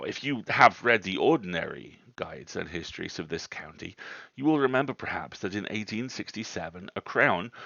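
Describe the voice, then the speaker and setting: Storybook old rector, played by a man: genial, learned, genteel and talkative, low creaky voice full of conversational asides. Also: a bit bright, full character voice. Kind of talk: storybook reading. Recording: roomy, dull livestream audio.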